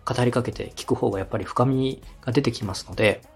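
A man's voice: medium pitch, quick pace, creaky, husky. A man in his thirties from Osaka, hosting a podcast and giving polite, encouraging advice in a conversational style. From Osaka, Japan. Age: 30s. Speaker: podcast host and radio director.

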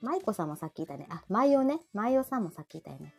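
A woman's voice: mid-range voice, very animated, racing, creaky, husky, faint music behind. A woman with a Kansai accent hosting a live audio show in casual, playful chat. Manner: playful banter with co-host and listeners.

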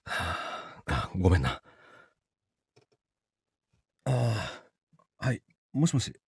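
A man acting in a Japanese voice drama as an evasive, apologetic boyfriend with a Kansai accent and a husky voice, stammering and hesitant. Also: fairly low pitch, big pitch swings, extremely slow, no echo, full character voice. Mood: flustered. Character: evasive, apologetic boyfriend, busy office worker with a secret.

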